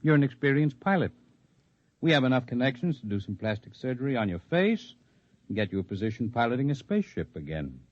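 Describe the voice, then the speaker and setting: An actor playing a composed, articulate leader of an underground freedom movement in 1950s radio drama style, speaking in measured, formal, persuasive speeches. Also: male voice, mid-range voice, sing-song, no room sound. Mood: calm, instructive. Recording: dull lo-fi vintage radio recording.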